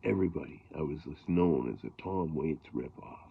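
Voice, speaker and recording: A middle-aged man with a gravelly voice, talking casually about harmonicas in a rambling way, with lots of fillers and throat clearing. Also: very deep voice, moderate pace, lo-fi sound. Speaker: blues harmonica player. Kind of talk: casual talk to camera.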